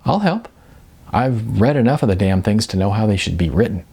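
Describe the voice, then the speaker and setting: Narrator doing a bubbly, blunt young actress, animated. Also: mid-range voice, fairly quick, vocal fry, slightly rough, heavily acted. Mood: upbeat, teasing. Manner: animated, casual, hedging in bursts.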